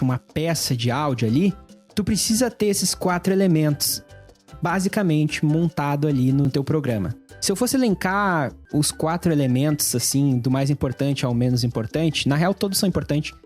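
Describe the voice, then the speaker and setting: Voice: medium pitch, darkish tone. A host with a Brazilian accent, explaining things in an informal, conversational tutorial style. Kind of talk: casual explainer to camera.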